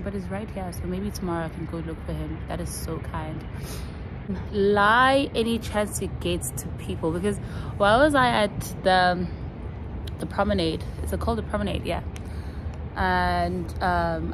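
A young woman from Namibia in her twenties, chatting casually to her phone in a selfie vlog, rambling with plenty of fillers. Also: lowish voice. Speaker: vlogger. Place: outdoor rooftop terrace, then indoors. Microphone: phone held at arm's length. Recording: phone audio with background noise.

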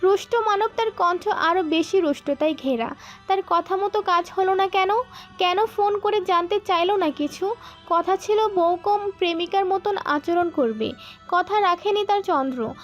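A woman's voice: very high voice, a little slow, lo-fi sound, soft background music. A woman reading a scripted romantic story as its narrator.

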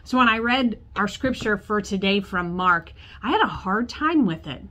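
A woman's voice: mid-range voice, lively intonation, moderate pace, bright, forceful tone. Woman pastor preaching from her office. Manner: storytelling, acts out quoted voices, gestures with hands. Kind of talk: sermon. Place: church office with bookshelves, indoors.

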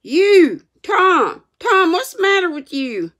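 A woman voicing a brusque older storybook aunt, sceptical and excitable. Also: low voice, slow, creaky, husky, heavily acted. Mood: alarmed. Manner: exclaiming, gasping.

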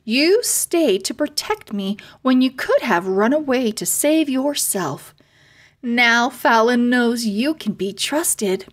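A confident, friendly girl guide from a storybook fairy world, put on by a woman reader. Her voice is high, and she explains things calmly and reassuringly. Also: slow pace.

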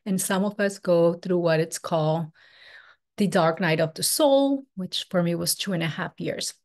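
A woman's voice: fairly low pitch, normal speed, a little mellow. Woman teaching online. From Peru. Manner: casual, flowing, filler-heavy with frequent "like" and "um". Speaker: spiritual teacher. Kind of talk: online workshop presentation. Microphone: webcam on video call.

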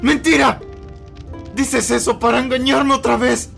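A man's voice: very high-pitched, little pitch movement, measured pace, creaky, fairly bright tone, soft background music, exaggerated voice. A man with a Latin American Spanish accent playing a bitter, betrayed young hero in an anime fan dub, brooding, distrustful and anguished. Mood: anguished, furious. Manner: brooding, bursting into anguished outcries.

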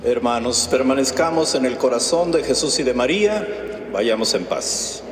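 Priest's calm dismissal in an echoing church. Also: male voice, mid-range voice, moderate pace, slight background noise. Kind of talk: liturgical dismissal. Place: large church. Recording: echoey church with long reverb.

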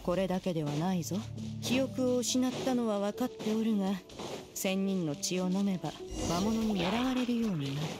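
Actress doing stern proud crane immortal lady, old-timey formal talk, game dialogue w/ loud music under it. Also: medium pitch, lively intonation, moderate pace, close to an everyday voice. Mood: scolding. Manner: archaic, lofty, formal elder-like speech. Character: proud, stern immortal crane adept in human form, woman. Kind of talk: scripted Japanese game voice acting, storytelling.

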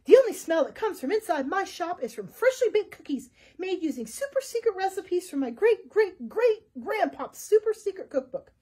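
A loud, pushy male dodo who runs a cookie shop, as a woman reading a storybook puts him on. He is excitable and brash, and talks right over everyone. His voice is shrill and raised, with a mellow, soft-edged tone. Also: moderate pace. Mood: indignant.

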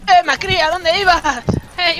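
A woman's voice: very high voice, steady tone, moderate pace, a bit bright. A woman playing a shooter online with a friend, joking around and bursting into playful exclamations. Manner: playful, joking, sing-song outbursts. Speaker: co-player guest. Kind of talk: casual gameplay chat.

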